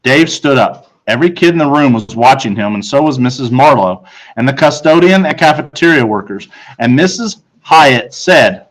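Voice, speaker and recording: Man reading a children's story, medium pitch. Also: expressive, moderate pace, low-quality recording. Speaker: teacher reading aloud. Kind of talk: children's book narration.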